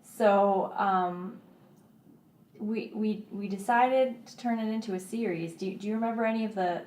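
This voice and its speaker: A woman's voice: mid-range voice, a little slow, darkish tone, a little hiss or hum. Woman interview host in a roomy space. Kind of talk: radio interview hosting. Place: radio studio.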